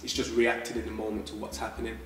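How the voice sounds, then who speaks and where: A man's voice: lowish voice, monotone, fast, fairly bright tone, recorded from a distance. A man, an actor and director, explaining his craft in a casual panel chat, emphatic and repeating himself for stress. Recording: roomy echo, off-mic, faint background hum.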